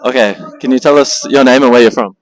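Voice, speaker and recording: A man's voice: mid-range voice, fast. A man conducting street interviews, asking short questions with some hesitant fillers. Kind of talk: street vox-pop interview.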